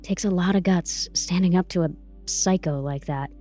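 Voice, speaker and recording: A woman's voice: fairly low pitch, normal speed, warm tone, faint music behind, natural voice. A woman playing a tough, protective advocate in an audio drama, blunt, slangy and profane. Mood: admiring, firm. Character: tough, brassy, protective woman advocate coaching her client.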